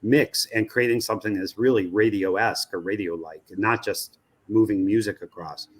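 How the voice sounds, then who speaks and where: Male interview guest, American, research executive type, analytical talk in a lowish register. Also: fairly even intonation, normal speed, slightly rough. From United States. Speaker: interview guest, radio research company president.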